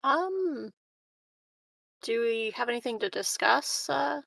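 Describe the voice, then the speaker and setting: Slow-spoken woman with a highish voice. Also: expressive, creaky, fairly bright tone, no echo. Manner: amused, halting. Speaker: tabletop game player. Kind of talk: casual chat during a roleplaying game.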